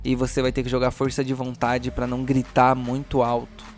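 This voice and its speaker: A man's voice: medium pitch, completely flat delivery, fairly quick. A Brazilian man narrating a horror tabletop game as game master, moving between dramatic description and casual slangy asides. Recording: music playing behind the voice. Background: music.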